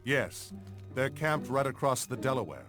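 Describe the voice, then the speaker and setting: An actor playing a dignified, gracious army general in a video game cutscene, speaking formally. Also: male voice, medium pitch, very animated, moderate pace, over music, close to an everyday voice. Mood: measured resolve.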